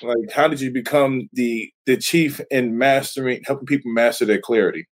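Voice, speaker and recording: A man hosting an interview, asking questions eagerly and haltingly, stacking several questions at once. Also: mid-range voice. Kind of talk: casual interview.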